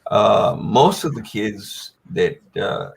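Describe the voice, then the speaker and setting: A man's voice: fairly low pitch, expressive, takes it slowly, vocal fry, slight background noise. An older man, telling a long personal story as a guest on a panel, with many fillers and restarts. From United States.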